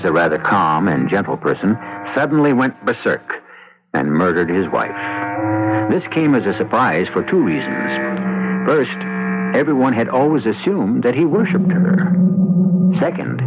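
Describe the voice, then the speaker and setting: Low voiced guy narrating old radio mystery, dramatic n deliberate, music behind, dull sound. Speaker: narrator and host.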